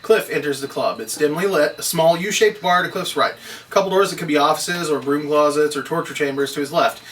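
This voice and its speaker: A man with a fairly high, very bright, forceful voice narrates stage directions from a script at a table read. He is recorded from a little distance, with faint noise in the room. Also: fairly quick. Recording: distant microphone, noisy room.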